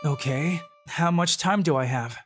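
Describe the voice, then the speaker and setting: A dry, secretly brilliant schoolboy, voiced by a man, talking through his thoughts in a flat, wry monologue. Music plays clearly underneath. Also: on the high side, a little slow, lightly acted. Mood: deadpan. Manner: deadpan inner monologue. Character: dry, secretly genius teenage boy student.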